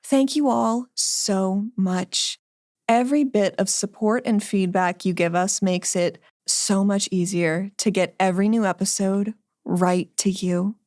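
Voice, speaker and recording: A woman's voice: medium pitch, steady tone, measured pace, a little mellow, dry recording, studio quality. A woman hosting a podcast, giving credits and thanks to listeners. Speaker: podcast host.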